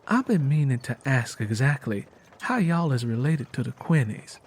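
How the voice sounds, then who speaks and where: A man's voice: mid-range voice, animated, normal speed, slightly rough. Man reading as an earnest Southern young woman. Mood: curious. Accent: American South. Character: Lenny Gray, gentle, faithful pregnant Southern young woman.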